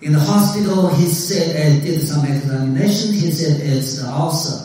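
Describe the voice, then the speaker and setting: A man, a pastor, interpreting a guest's church testimony into English live, hesitant and halting as he translates. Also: on the high side, mellow. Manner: hesitant, many uh fillers while translating. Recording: echoey church hall, distant mic.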